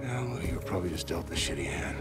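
An actor playing a weary, sarcastic, wary survivor in a sci-fi horror video game, blunt and informal. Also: male voice, sits low, animated, quick pace. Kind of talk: cinematic video game acting. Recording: loud music and machinery behind.

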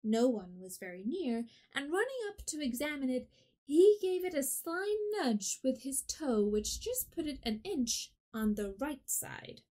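A woman narrating an audio drama in a clear, measured storytelling manner. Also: high-pitched, big pitch swings, mellow. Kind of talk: scripted narration.

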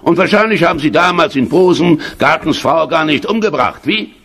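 An actor playing a menacing, sarcastic Nazi security officer in a radio crime drama, sneering and commanding. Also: male voice, on the high side, animated, normal speed, vocal fry, fairly bright tone, full character voice.

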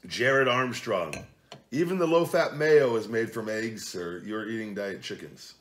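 A man in his forties chatting casually at home as a vlog host, reading viewer comments into a desk camera. He is blunt and profane, jumping from low mutters to loud outbursts. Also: expressive, unhurried. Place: home living room at night, seated at a table.

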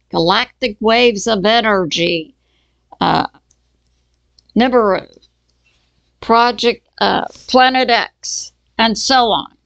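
Slow-talking woman with a higher voice. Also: a bit bright, no echo. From Texas. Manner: slow, halting, with many fillers and restarts. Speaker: channel host. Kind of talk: casual news commentary.

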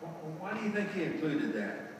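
A man's voice: a little high, sing-song, normal speed, noisy background. A man in his sixties preaching a folksy sermon from the pulpit, often asking the congregation rhetorical questions. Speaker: lay preacher. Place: large church sanctuary, indoors. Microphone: far camera at the back of the sanctuary. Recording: echoey church hall, distant camera.

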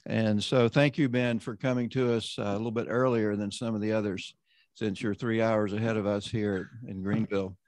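A man hosting an online roundtable, introducing panelists and leading a prayer in a measured, conversational way. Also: lowish voice, flat delivery, a little mellow, no echo. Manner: measured, conversational, often slowing down. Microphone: video call.

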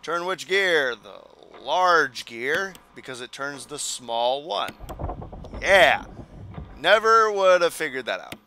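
Hoarse, lively young man playing a game. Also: fairly high pitch, takes it slowly, slight background noise. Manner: joking, self-mocking, animated. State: hoarse, losing his voice. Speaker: gaming channel host. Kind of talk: casual let's play commentary.

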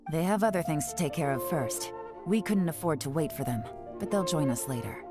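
A woman voicing a calm, commanding female spymaster in a game, with a dry, composed delivery. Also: low voice, a little fast. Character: cool, composed female spymaster giving orders. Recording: game audio with music.

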